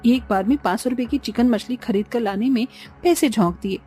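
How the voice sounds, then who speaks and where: Scolding, thrifty housewife for a cartoon, mellow but highish voice woman acting. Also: a little fast, faint music behind, natural voice. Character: thrifty, scolding daughter-in-law of a poor household. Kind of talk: Hindi cartoon story acting.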